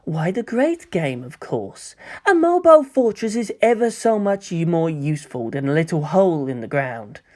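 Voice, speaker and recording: Man voicing a sly, softly mocking young angel villain, lilting. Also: a little high, very animated, moderate pace, few pauses. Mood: coy. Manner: coy, gentle, musing, theatrical.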